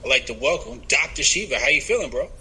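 A man's voice: medium pitch, lively intonation, quick pace, pushed and bright, husky, rough recording. A man from New Jersey hosting a podcast, talking casually with slang and lots of fillers. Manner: laid-back, filler-heavy slang. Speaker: podcast host. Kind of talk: casual chat.

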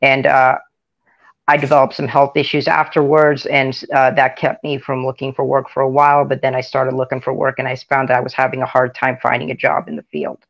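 A man's voice: mid-range voice, steady tone, brisk, gravelly, fairly bright tone, muffled recording. A man in his twenties, hesitant, giving brief answers as a guest on a video call. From Seattle area, Washington. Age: 20s. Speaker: panel guest. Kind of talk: casual self-introduction.

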